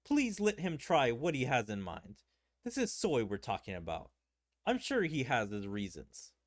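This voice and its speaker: A man's voice: medium pitch, wildly swooping pitch, a little slow. A man streaming a game, reading its dialogue aloud in a theatrical way and adding his own commentary. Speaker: gaming streamer.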